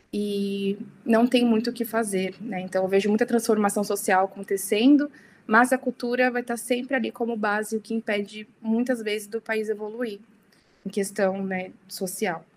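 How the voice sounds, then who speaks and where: Chatty young woman, fairly high voice. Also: fairly even intonation, fairly quick, a little mellow, a little hiss or hum. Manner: chatty, many tag questions. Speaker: interview guest. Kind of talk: casual podcast interview.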